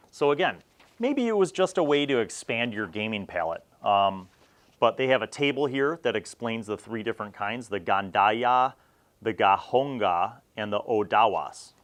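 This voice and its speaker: A man's voice: lowish voice, fairly bright tone. A middle-aged man talking casually and conversationally as the host of a review video, rambling a little as he comments. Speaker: host of a video series reviewing old roleplaying magazines.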